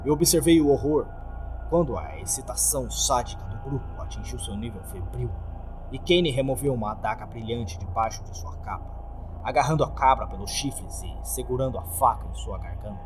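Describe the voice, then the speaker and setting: A man's voice: mid-range voice, normal speed, music in the background. A man with a Brazilian accent reads a scripted horror story as a dramatic narrator. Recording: faint background noise.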